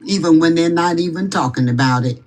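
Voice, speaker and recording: A woman giving impassioned political commentary on a live stream, emphatic and forceful, repeating her warnings. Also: sits low, a little fast.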